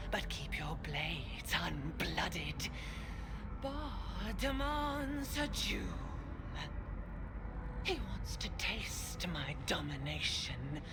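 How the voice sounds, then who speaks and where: A woman's voice: medium pitch, full character voice. An actress playing a sadistic, unhinged cult assassin in a video game, theatrical and gloating as she savors each word. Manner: theatrical, savoring words, swinging from hiss to cry. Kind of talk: dramatic video game cutscene acting. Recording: loud music under the voice. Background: loud music.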